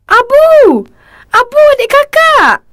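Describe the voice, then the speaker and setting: High-voiced, polite orphan girl. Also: wildly swooping pitch, takes it slowly, full character voice. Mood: grateful. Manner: soft-hearted, polite. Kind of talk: cartoon voice acting.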